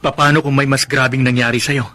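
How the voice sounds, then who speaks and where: An actor playing an earnest, protective young husband in a radio drama, speaking in a pleading way. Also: male voice, mid-range voice, little pitch movement, brisk, pushed and bright, lightly acted. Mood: concerned. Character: earnest, protective young husband caught between wife and aunt. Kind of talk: Filipino radio drama acting.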